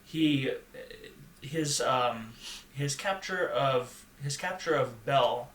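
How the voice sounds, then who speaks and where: A man speaking in a thoughtful, halting and measured way as a panelist in a conversational podcast discussion. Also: mid-range voice, lively intonation, fairly bright tone. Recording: echoey room, faint background noise.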